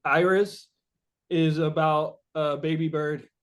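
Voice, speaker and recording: A man being interviewed as a musician, chatting casually and feeling his way through rambling, halting explanations. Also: a little high, fairly even intonation.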